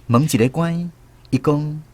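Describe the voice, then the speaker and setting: A man's voice: on the high side, a little slow, noisy background, close to an everyday voice. An actor with a Taiwanese accent narrating a radio drama as a man recalling his army days, in a storytelling manner. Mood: reflective storytelling. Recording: music behind the voice.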